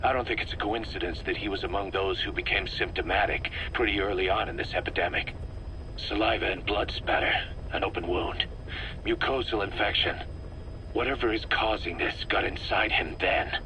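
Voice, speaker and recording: Give this to a bitter, suspicious military commander from a dramatic game. His voice is fairly low with a sharp, forceful edge, and he talks tersely and accusingly, over a slightly noisy tape recording. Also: moderate pace. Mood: grim, urgent. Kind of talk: dramatic video game voice acting.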